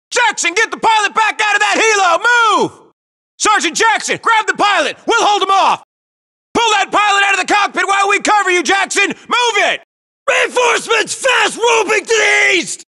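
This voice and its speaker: A man's voice: very high-pitched, animated, normal speed, pushed and bright, raised voice, exaggerated voice. An actor playing a US Marine infantryman in a military shooter game, barking urgent battle orders and callouts. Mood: urgent, shouting. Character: young hard-charging US Marine infantryman in battle.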